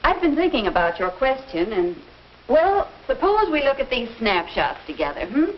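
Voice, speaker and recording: An actress playing a patient, gently explanatory middle-aged American mother advising her teenage daughter in a 1950s instructional film. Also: on the high side, sing-song, moderate pace, slight background noise. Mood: gentle, attentive. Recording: dull vintage film soundtrack with music.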